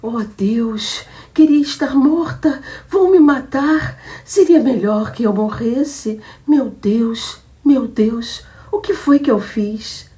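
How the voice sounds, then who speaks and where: A woman's voice: a little high, slow, hardly any pauses, dark tone, pitched above the performer's own voice. A woman reader voicing a jealous young woman who shoots her lover and then falls into hysterics. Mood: hysterical. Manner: menacing then frantic and sobbing.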